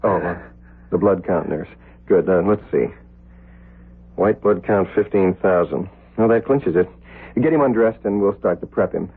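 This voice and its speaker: An actor playing an eager, cocky young surgical resident who turns hesitant with superiors, in a 1970s radio drama. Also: male voice, sits low, normal speed, pauses often, husky. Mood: brisk, businesslike. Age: young adult. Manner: hesitant, stammering, deferential. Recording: lo-fi narrowband old radio recording.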